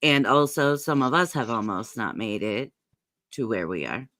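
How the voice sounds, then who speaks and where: A woman's voice: very deep voice, expressive, normal speed, a bit bright, no echo. A woman in her fifties chatting casually, agreeable and reflective. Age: 50s. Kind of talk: casual chat.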